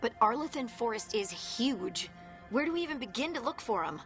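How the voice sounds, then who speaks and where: A plucky female fighter in a fantasy game. The voice is fairly high and swings expressively, full of stubborn spirit. Music sits faintly behind. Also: animated, moderate pace, fairly bright tone. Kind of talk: dramatic video game cutscene acting.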